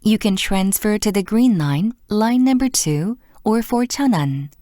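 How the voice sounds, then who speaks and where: A woman reads formal subway transfer notices very slowly and deliberately. Her voice is soft-edged and mellow, recorded dry with no room sound. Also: mid-range voice, expressive, no echo. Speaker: subway announcer. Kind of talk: scripted transit announcement.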